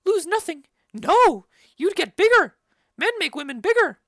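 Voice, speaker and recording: A woman voicing a chattering, bawdy old female nurse in a dramatic reading of a play, rambling and loud. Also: extremely high pitch, moderate pace, gravelly, no echo, studio quality. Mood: gossipy. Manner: loud, rambling, giggly storytelling.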